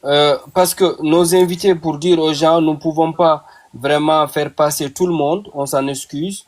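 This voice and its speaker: A man's voice: a little high, fairly even intonation, a little slow. A man hosting an online panel debate, putting audience questions to his guest with frequent hesitations. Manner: hesitant, many fillers. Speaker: panel host, moderator.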